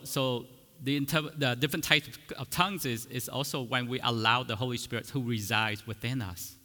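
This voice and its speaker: Man, Vietnamese as first language, teaching a church sermon; earnest and explanatory, bright voice carried in a reverberant church hall. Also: medium pitch. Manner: earnest, explanatory, with frequent restarts and fillers. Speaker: preacher.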